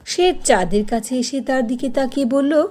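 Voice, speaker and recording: A woman's voice: high-pitched, moderate pace, darkish tone. A woman telling a children's cartoon story as a scripted narration.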